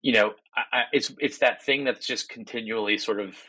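A man chatting as a podcast guest in a relaxed, self-deprecating way, with frequent ums and restarts. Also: medium pitch, fairly quick, slightly rough, no echo. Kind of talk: casual interview talk.

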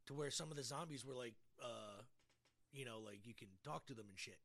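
A man's voice: medium pitch, fairly quick, creaky, a bit bright. A man co-hosting a podcast, chatting casually in a rambling, repetitive way with mild swearing. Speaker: podcast co-host. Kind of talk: casual chat about video games and films.

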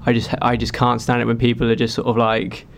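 A man's voice: medium pitch, completely flat delivery, fast, gravelly. A young man, a singer-songwriter, talking casually and rambling through stories with lots of fillers. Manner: rambling, filler-heavy storytelling. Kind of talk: casual chat.

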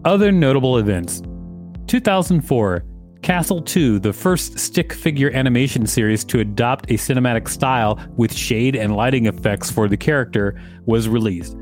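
A man's voice: mid-range voice, expressive, moderate pace, darkish tone, faint music behind. Wry man reading aloud with asides. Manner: wry, interrupts the text with sarcastic asides. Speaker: podcast co-host reading an article. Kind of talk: casual read-aloud with joking asides.

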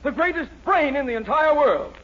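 Blustery middle-aged dean, high and animated, theatrical vintage radio sitcom on dull old recording. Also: male voice, moderate pace. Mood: exultant, frantic. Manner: pompous, theatrical exclamations. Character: excitable, pompous male university dean. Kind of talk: 1940s radio comedy acting. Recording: muffled, noisy 1940s radio recording.

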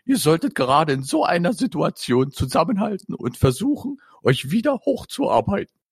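A man voicing a loving mother who speaks in a gentle, soothing way. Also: a little high, big pitch swings, normal speed, gravelly, breathy edge, dry recording, studio quality, pitched above the performer's own voice, heavily acted.

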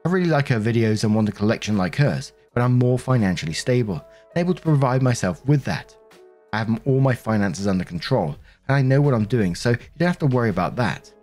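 A man's voice: mid-range voice, animated, brisk, a little mellow, soft background music. A man reading online stories aloud and adding chatty asides as narrator. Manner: conversational, with frequent asides.

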